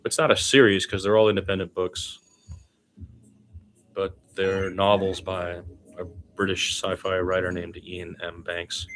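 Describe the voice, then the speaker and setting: A man's voice: low voice, fairly bright tone. A man doing informal solo basketball play-by-play commentary, conversational and given to chatty asides.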